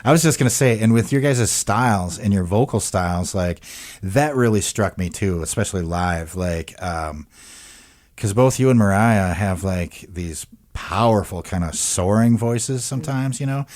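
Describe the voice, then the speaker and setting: Laid-back low-voiced radio host. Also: male voice, lively intonation, a little mellow. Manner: laid-back, halting, many fillers. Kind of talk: casual radio interview. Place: radio studio.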